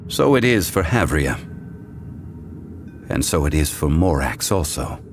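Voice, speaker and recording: A very low-voiced, solemn immortal god in human form for a video game. He speaks slowly in formal, archaic phrases, over clearly audible music. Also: big pitch swings, full character voice. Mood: solemn, measured. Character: Zhongli, solemn, wise ancient god in male human form. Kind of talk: scripted video game voice acting. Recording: music behind the voice.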